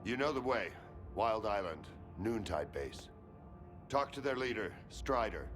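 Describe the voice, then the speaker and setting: An actor playing a gruff, short-tempered colonel in a video game, barking orders with profane sarcasm. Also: male voice, fairly low pitch, lively intonation, unhurried, music in the background. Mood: commanding, sardonic. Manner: brusque, commanding, profane, sarcastic.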